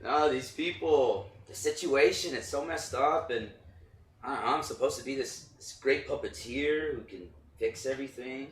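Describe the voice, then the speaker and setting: A man's voice: mid-range voice, normal speed, fairly bright tone, natural voice. A man playing an unsure, earnest puppeteer in a staged play reading, with a hesitant manner. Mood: overwhelmed. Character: unsure, earnest male American puppeteer abroad. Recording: roomy, distant mic, faint noise.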